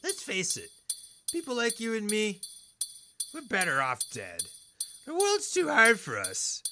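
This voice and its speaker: A man's voice: sits high, wildly swooping pitch, measured pace, sharp tone, soft background music, heavily acted. A young man playing a bitter, unstable best friend turned villain in a superhero audio drama, theatrical and sardonic. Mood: bleak, resigned. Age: young adult.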